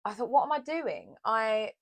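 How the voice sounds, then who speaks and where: A woman co-hosting a casual chat about acting, chatty and playful and quick to laugh. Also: a little high, very animated. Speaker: podcast co-host.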